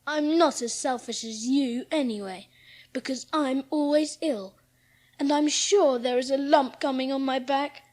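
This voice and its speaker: A woman's voice: sits high, a little slow, darkish tone. A woman playing a spoiled, self-pitying sickly young boy with a petulant, whining manner in a dramatised audiobook. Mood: petulant, jealous. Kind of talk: dramatised audiobook character acting.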